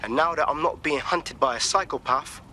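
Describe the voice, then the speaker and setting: An actor playing a cocky, streetwise freelance vigilante in a game, breezy and slangy, with a London accent. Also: male voice, fairly high pitch, moderate pace, pushed and bright, a little hiss or hum. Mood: cocky, pleased. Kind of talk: game cutscene acting.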